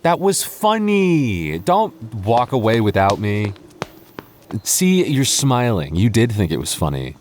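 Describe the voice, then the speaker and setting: A low-pitched young goth boyfriend, acted by a man in an intimate audio roleplay. He speaks earnestly and haltingly, stammering as he goes. He is protective and playful, and gets flustered easily. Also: big pitch swings, darkish tone, soft background music. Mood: playful, teasing.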